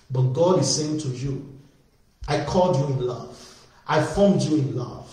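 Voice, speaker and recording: A man's voice: fairly high pitch, a little mellow. A man preaching an evangelistic sermon, calling out with fervent, repetitive appeals that build in emphasis. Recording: very echoey, distant from the mic.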